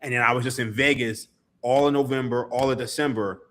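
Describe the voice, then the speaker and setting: A man's voice: medium pitch, steady tone, fairly quick, a bit bright. A man, a podcast guest telling stories in casual chat, laughing and swearing freely. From Philadelphia, USA. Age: 30s. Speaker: podcast guest, sports writer.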